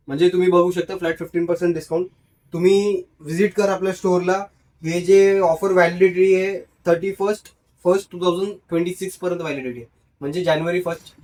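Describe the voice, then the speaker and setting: Male shop rep, fairly high voice, casual sales pitch running through stock and deals. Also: darkish tone, poor audio. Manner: salesman-like, listing items and offers. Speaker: shop representative. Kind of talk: casual store promotion.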